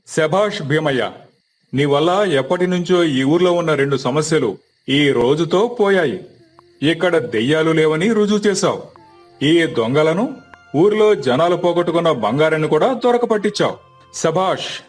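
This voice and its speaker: A man voicing an authoritative, skeptical village leader with a measured, persuasive manner. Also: fairly high pitch, expressive, faint music behind. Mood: approving.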